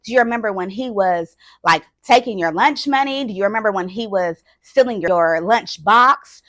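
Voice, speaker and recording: A woman's voice: mid-range voice, normal speed, fairly bright tone, no room sound, lightly acted. A woman voicing a relentless party guest who harps on painful memories with insistent, repetitive questions. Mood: insistent, needling.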